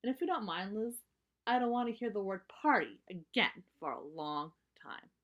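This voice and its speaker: A woman's voice: mid-range voice, animated, moderate pace, highs cut off, lightly acted. Lady doing a little sister bear cub from a kids book, blunt, not having it. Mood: fed up. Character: young girl bear cub, firmly unwilling, in a storybook.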